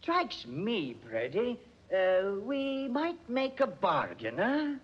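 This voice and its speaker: Fussy, slippery doctor for vintage radio mystery; high voice, swooping delivery, sputtering clipped phrases. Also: male voice, huge pitch swings, slow, husky, heavily acted. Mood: sly, wheedling. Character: evasive, blustering doctor and lecturer with gambling debts. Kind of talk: 1940s radio acting. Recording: muffled vintage 1940s radio recording.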